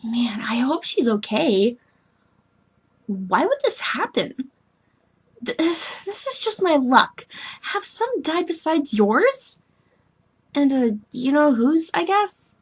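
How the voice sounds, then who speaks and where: A woman voicing a moody, self-pitying young alien woman in scripted dialogue, blunt, slangy and excitable. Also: high voice, slow pace, pauses often, pitched above the performer's own voice, heavily acted. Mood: worried.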